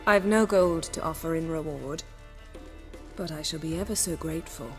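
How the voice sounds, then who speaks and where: Proud, commanding sorceress. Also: female voice, low-pitched, moderate pace, soft background music. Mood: earnest, determined. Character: proud, commanding, dryly teasing female sorceress. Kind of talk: video game cutscene dialogue.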